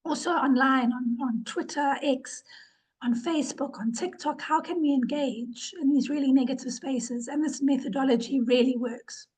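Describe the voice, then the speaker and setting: Woman presenter, ill and hoarse, high and husky, earnest webinar talk with fillers. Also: normal speed, darkish tone, poor audio. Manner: earnest, repeats words for emphasis, with fillers. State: ill, losing her voice. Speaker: webinar presenter, organization director.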